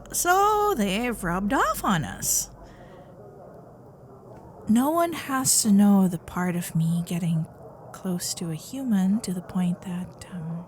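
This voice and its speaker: Sweet, unhurried kitsune girlfriend, played by a woman. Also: mid-range voice, slight background noise. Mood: playful, reassuring. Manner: nervous, stammering, giggly, caring. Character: sweet flustered young female kitsune fox-spirit girlfriend. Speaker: ASMR roleplay performer. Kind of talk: ASMR girlfriend roleplay.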